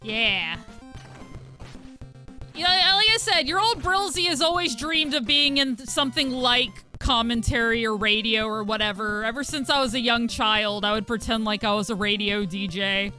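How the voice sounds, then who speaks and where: Husky-voiced woman streamer, bright and chatty. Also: fairly high pitch, normal speed, faint music behind. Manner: chatty, thanks viewers, frequent fillers and restarts. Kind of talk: casual chat while gaming.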